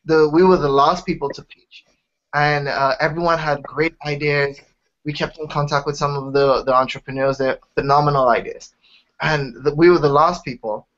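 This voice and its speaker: A man's voice: a little high, steady tone, normal speed, fairly bright tone. A young man from Trinidad and Tobago with a Trinidadian accent, eagerly telling his startup story in an interview, often restarting his sentences. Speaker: startup founder, interview guest. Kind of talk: casual interview talk. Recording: dull lo-fi sound.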